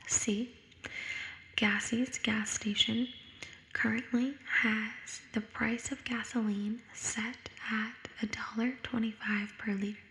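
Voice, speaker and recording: A young woman whispering in an ASMR style, reading study questions aloud in a hushed, unhurried manner, with an echo effect on her voice. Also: medium pitch. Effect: echo sound effect. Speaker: ASMR creator. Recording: echoing whisper recording.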